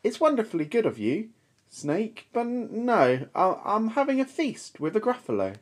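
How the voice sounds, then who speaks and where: A woman voicing a small, plucky, boastful mouse in a storybook, brisk and emphatic in a cheeky way. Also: medium pitch, big pitch swings, pitched above the performer's own voice. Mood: polite, cheeky.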